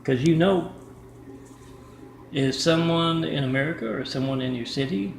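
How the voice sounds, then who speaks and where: Man vlogger, rough gravelly voice, rambling monologue to camera; echoey room with faint hum. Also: fairly high pitch, normal speed. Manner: rambling, restarts often, reads out numbers and addresses. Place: indoor apartment with a kitchen.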